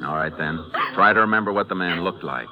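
An actor playing a steady, businesslike Texas Ranger, clipped and methodical, in a 1950s western radio drama. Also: male voice, sits low, fast, lo-fi sound, crowd noise. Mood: firm, calm. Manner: clipped, methodical, sober questioning. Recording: noisy old radio broadcast recording.

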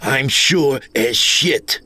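A man's voice: medium pitch, very slow, bright, forceful tone, dry recording, pitched above the performer's own voice, exaggerated voice. A man playing a bewildered, agitated American greenhorn in a told story. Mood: angry refusal.

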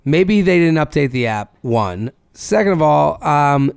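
Gravelly-voiced man, casual podcast banter. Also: fairly high pitch, measured pace, no echo, clean studio sound. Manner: animated, swears casually, reads listener emails aloud. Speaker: podcast co-host. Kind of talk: casual chat.

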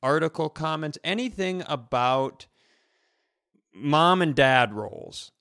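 A man's voice: fairly high pitch, expressive, takes it slowly, a bit bright, smooth, no echo, studio quality. A man co-hosting a comedy podcast, chatting casually in a rambling, bantering storyteller's way.

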